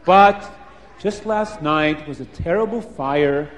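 A man's voice: a little high, slow pace, in a large hall. A man voicing village townsfolk who confide sad news. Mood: sorrowful. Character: gossiping village townsfolk, sorrowful.

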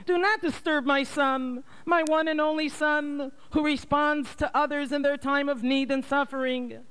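A frantic old mother wails and begs in a high, quavering voice, as a male storyteller puts her on. Her pleas swing up and down, full of fright and grief. The tone stays clear and smooth. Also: very animated, measured pace, fairly bright tone, full character voice, poor audio. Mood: wailing. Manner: wailing high cries. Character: elderly mother, frantic, pleading, grief-stricken.

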